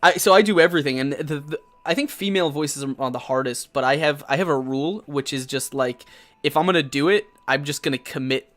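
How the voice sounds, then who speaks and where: A man's voice: on the high side, brisk, a bit bright. A young man hosting a casual live-streamed talk show about tabletop games, talking loosely and swearing freely.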